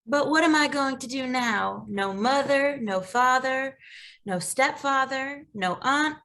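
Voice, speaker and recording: Woman as an earnest, bright-voiced young heroine. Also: sits high, slow pace, full character voice. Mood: forlorn. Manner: earnest, emotional. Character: orphaned young woman, earnest and anxious baker's daughter. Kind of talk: animated comedy table read.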